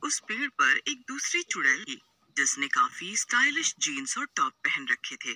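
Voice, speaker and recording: A man's voice: fairly high pitch, very animated, a little slow, sharp tone, no echo. A man narrating a scripted horror folk tale as a storyteller.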